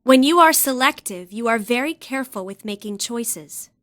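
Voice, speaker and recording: A woman's voice: a little high, lively intonation, moderate pace, fairly bright tone. A woman reading words, definitions and example sentences from a script as a lesson narrator.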